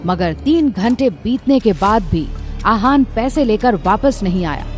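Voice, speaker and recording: A woman's voice: mid-range voice, lively intonation, unhurried, a little mellow. A woman narrating a scripted audio drama as a dramatic storyteller. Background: background music.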